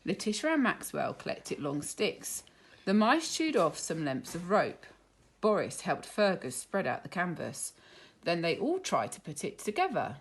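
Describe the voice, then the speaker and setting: A woman reading a children's story aloud in an expressive, deliberate teacherly way. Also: on the low side, slightly rough. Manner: animated, deliberate.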